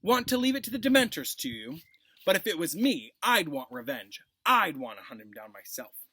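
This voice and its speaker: A man narrator puts on a sly young bully from a children's fantasy tale. He taunts with a malicious sneer and an animated, rising and falling pitch. It is recorded close and dry. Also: moderate pace, slightly rough, dry recording, exaggerated voice. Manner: taunting, quiet menace.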